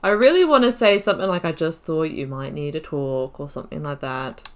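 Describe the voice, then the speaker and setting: A woman's voice: low voice, brisk. A woman hosting a playthrough with casual commentary, reflectively thinking aloud as she goes. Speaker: gaming channel host. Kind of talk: casual let's play commentary.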